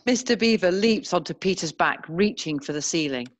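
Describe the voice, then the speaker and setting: A woman's voice: on the low side, expressive, normal speed. A woman narrator reading scene descriptions as scripted screenplay narration.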